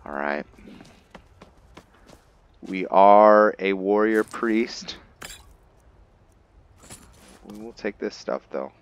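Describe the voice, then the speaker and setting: A man's voice: on the low side, extremely slow, long pauses, clean tone, lo-fi sound. A man narrating his video game roleplay casually, thinking aloud in fragments. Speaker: gaming video host roleplaying a character.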